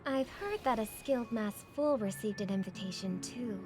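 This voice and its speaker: A woman's voice: on the high side, normal speed, lightly acted. A woman playing a composed, polite and earnest young lady in a video game. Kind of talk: English video game voice acting. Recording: game audio with loud background music. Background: music.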